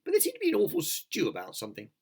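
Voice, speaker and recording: A man's voice: high-pitched, wildly swooping pitch, rapid-fire, vocal fry, darkish tone, husky, voice pushed up, exaggerated voice. A man voicing a weary, sighing male centipede in a storybook reading.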